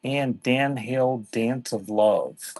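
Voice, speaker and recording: A man running a casual live-stream sale, talking in an offhand, halting way and thanking viewers by name. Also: mid-range voice, darkish tone. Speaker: live online seller.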